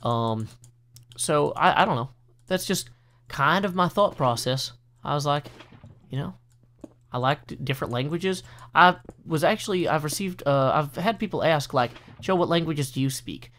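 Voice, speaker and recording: A man's voice: fairly high pitch, animated, moderate pace, fairly bright tone. A man from the United States hosting a casual gameplay commentary over a desk mic, talking earnestly and rambling with many fillers and restarts. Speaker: let's play host.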